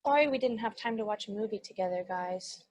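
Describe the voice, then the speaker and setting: A woman's voice: mid-range voice, quick pace, a little mellow, lightly acted. A woman playing a naive, affectionate young demon, childlike and earnest, in a comedy table read. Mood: wistful.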